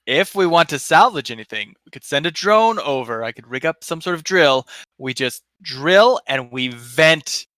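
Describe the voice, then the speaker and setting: A man's voice: a little high, expressive, normal speed, pushed and bright, no room sound. A man playing in a tabletop game who lays out plans in a matter-of-fact way. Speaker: player. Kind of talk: casual chat at a game table.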